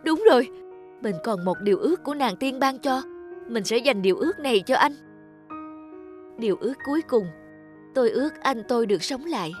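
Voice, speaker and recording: A woman's voice: a little high, expressive, brisk, pauses often, soft background music, close to an everyday voice. A woman voicing a devoted, forgiving younger sibling in a children's cartoon, earnest and caring. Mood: anxious devotion.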